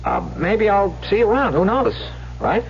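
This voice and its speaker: An actor playing a smooth-talking young swindler in an old radio drama, hesitant and ingratiating, on a muffled recording. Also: male voice, high-pitched, animated, normal speed, a little hiss or hum. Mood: bashful, friendly. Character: smooth-talking young male small-time swindler, feigning boyish shyness.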